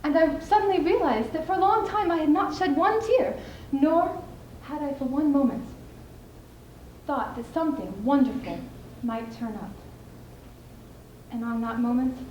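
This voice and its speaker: A young woman performing a stage monologue as a spirited, scheming widow, speaking emphatically with deliberate theatrical pauses. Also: high voice, highs cut off, close to an everyday voice. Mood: reflective, wistful. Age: young adult. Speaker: student speech team performer. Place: indoor school showcase stage. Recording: echoey room, clearly audible background noise.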